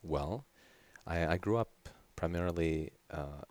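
A man's voice: deep voice, a little slow, a little mellow, no room sound. A man with a Catalan accent, whose first language is Catalan, speaking as a guest scientist in a casual interview, hesitant and often repeating words as he restarts. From Catalonia, Spain.

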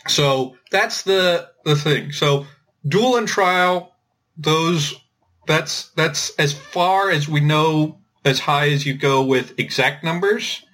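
A man explaining things informally as a podcast host, thinking aloud in a halting way with repeated words. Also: fairly high pitch, fairly bright tone. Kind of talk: informal explanatory discussion of linguistics.

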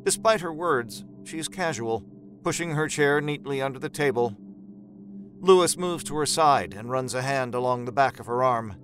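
A man's voice: medium pitch, animated, normal speed, fairly bright tone, soft background music. A man narrating a scripted horror story as part of an audio drama.

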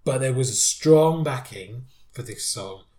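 A man's voice: medium pitch, expressive, measured pace, darkish tone. A man co-hosting a podcast, chatting thoughtfully about songs, with frequent restarts. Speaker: podcast co-host. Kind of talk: casual chat reviewing songs. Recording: roomy echo.

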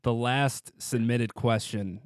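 A man's voice: mid-range voice, steady tone, slow pace, no room sound, clean studio sound. A man hosting a podcast, reading prepared interview questions with a fan's eagerness. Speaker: podcast host.

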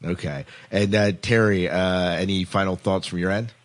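A man with a very low voice hosts a podcast. He talks casually with his guests, in a conversational discussion style. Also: fairly even intonation, normal speed. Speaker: podcast host.

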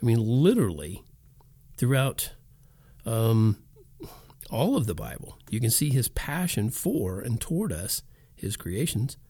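A man delivers a homespun sermon straight into a close microphone, with no room sound around him. His voice is mellow and a little dark. He swings his pitch widely as he fires rhetorical questions at the listener. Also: sing-song, unhurried, pauses often, breathy edge, no echo. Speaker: preacher and podcast host. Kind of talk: informal sermon monologue.

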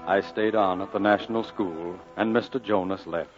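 An actor playing a grown man gravely recalling his boyhood, narrating an old radio drama in a measured, wistful way. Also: low voice, fairly even intonation, close to an everyday voice. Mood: solemn. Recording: dull old radio, flute music behind.

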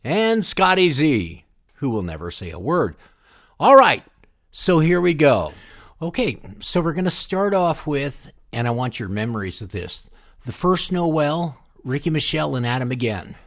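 A man's voice: fairly high pitch, big pitch swings, unhurried, a bit bright. A man hosting a casual music interview, introducing and asking about songs. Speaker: podcast host and interviewer.

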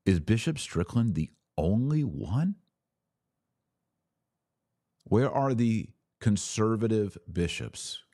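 A man with a low-pitched voice hosts a live show in a conversational, direct style. He urges his listeners along as he moves through comments and commentary. The sound is dry, with very little room in it. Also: expressive, measured pace, dark tone, no room sound. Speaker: podcast host. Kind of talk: casual live commentary, reading and answering viewer comments.

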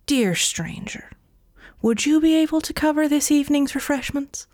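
A smug, fast-talking trickster man, put on by a woman storyteller, coaxing and goading with a slippery, self-pleased charm. His voice sits higher than her own, sometimes dropping to a sly whisper. It is a close, dry storybook reading. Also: a little mellow, no room sound, natural voice. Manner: wheedling, goading. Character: sly silver-tongued male trickster, smug.

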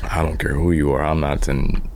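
A man in a casual, slangy conversation, speaking informally in halting phrases that often repeat. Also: a bass voice, level tone, darkish tone, a little hiss or hum. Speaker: podcast guest. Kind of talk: casual chat.

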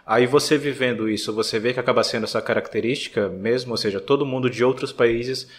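A man hosting a podcast, asking long, careful questions in a relaxed, conversational way. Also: medium pitch, fast talker. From Brazil. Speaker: podcast host. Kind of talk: casual podcast interview.

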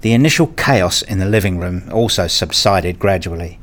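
A man's voice: low voice, expressive, normal speed, fairly bright tone. A man acting in a radio play as a weary, wryly self-mocking academic father who narrates his own story. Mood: weary, reflective. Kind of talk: first-person narration and acted dialogue.

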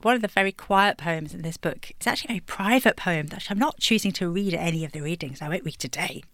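A woman's voice: on the low side, fast talker, a bit bright, dry recording, clean studio sound. A woman poet from England answering interview questions thoughtfully, laughing lightly between thoughts. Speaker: poet guest.

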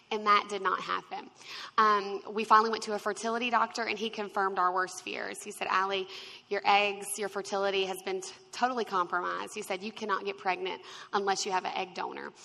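A woman's voice: mid-range voice, vocal fry, in a large hall, low-quality recording. A woman in her twenties telling her story with laughter, acting out the people she quotes. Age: 20s. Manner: expressive, acts out quotes, laughs often. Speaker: guest sharing her testimony. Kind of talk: animated storytelling.

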